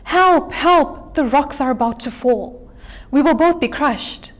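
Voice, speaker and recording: A woman's voice: sits high, slow pace, pitched above the performer's own voice. A woman voicing a small, clever, boastful male jackal trickster in a children's storybook, with theatrical exclamations. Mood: wailing panic.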